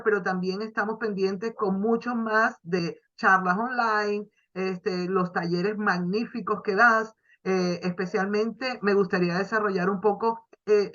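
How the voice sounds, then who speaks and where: Host, woman, effusive and warm, bright forceful tone, lo-fi audio. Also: mid-range voice. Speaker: radio host. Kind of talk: interview hosting. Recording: dull lo-fi sound.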